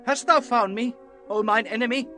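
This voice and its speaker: A man's voice: extremely high pitch, moderate pace, pushed and bright, faint music behind. An actor playing a haughty, resentful king in a declamatory Bible drama. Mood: accusing. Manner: declamatory, theatrical.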